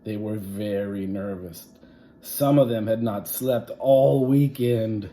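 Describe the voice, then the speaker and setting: A man reading a children's story aloud like a teacher, expressive and deliberate, with dramatic pauses and asides. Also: on the low side, slight background noise.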